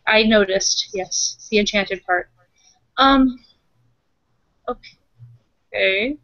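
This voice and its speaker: A woman's voice: mid-range voice, drawn-out words, fairly bright tone, no echo. A woman casually improvising in character at a tabletop roleplaying game, tentatively thinking aloud as she goes. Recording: dry, dull lo-fi audio.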